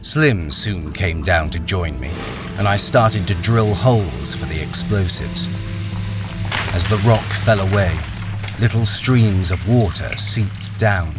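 Male actor as seasoned miner in a radio thriller: low voice, wary, brooding, music underneath. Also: slow pace. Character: wary, experienced male miner, blackmailed, narrating his ordeal. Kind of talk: radio crime thriller acting and first-person narration.

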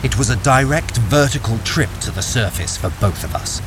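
A man's voice: mid-range voice, lively intonation, normal speed, background noise, natural voice. An actor playing a desperate mine worker who narrates his escape in a thriller audio drama, intense and dramatic. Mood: grave, suspenseful. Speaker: narrator and lead character. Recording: loud music and effects behind.

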